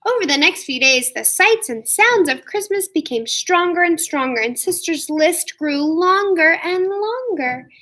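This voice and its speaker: A woman reading a story aloud to young children like a teacher, expressive, with drawn-out emphasis. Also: extremely high pitch. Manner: expressive, asks listeners questions, drawn-out emphasis.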